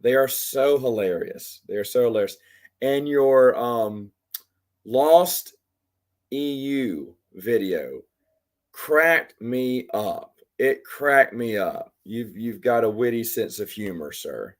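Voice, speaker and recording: Host, male, 40s, voice going hoarse, rambling and chatty, mid-pitched. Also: animated, slow pace. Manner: rambling, chatty, talks to commenters by name. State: losing his voice. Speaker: livestream host. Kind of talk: casual live Q&A chat.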